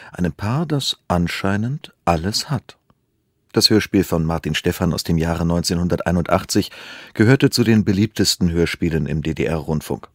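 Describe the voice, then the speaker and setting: Low-voiced male radio announcer, dry studio sound. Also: no echo. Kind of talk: scripted radio introduction.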